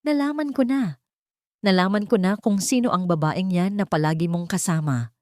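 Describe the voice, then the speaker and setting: A man's voice: high-pitched, moderate pace, no room sound, pitched above the performer's own voice, close to an everyday voice. A woman narrator plays a domineering, wealthy father in a romance audio drama. He is furious and commanding, leaning hard on his words. The sound is close and dry. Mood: angry, commanding. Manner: forceful, commanding, stressed words.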